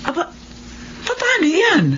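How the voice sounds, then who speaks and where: A woman's voice: extremely high pitch, animated, measured pace, pushed and bright, full character voice. A woman playing a stern, worried older mother and grandmother, blunt and probing, in a radio drama. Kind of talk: Filipino radio drama acting. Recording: dull lo-fi radio, faint noise.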